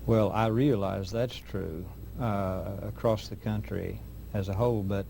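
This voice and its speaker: Man, gravelly, low, mellow tone, measured interviewer, faint hiss. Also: fairly even intonation. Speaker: host interviewer. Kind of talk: interview questions and anecdote.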